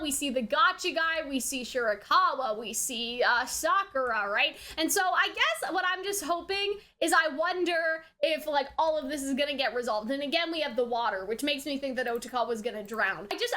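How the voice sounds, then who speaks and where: A woman's voice: high-pitched, bright, forceful tone. A woman giving casual, rambling episode commentary as a reviewer, often checking in with questioning tags at the ends of phrases. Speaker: anime reviewer hosting a reaction video.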